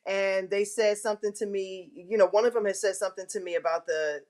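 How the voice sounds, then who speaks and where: A woman hosting a live online show, telling a candid personal story to her viewers in a big, carrying voice, frank and self-mocking, often clearing her throat. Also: medium pitch, steady tone, brisk, darkish tone.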